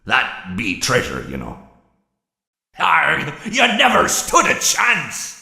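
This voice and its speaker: Gravelly, sly fox. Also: male voice, medium pitch, huge pitch swings, takes it slowly, pushed and bright, roomy sound, exaggerated voice. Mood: menacing, roguish. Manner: growled pirate talk. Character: sly, worn-out old animatronic pirate fox. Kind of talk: theatrical horror game character lines.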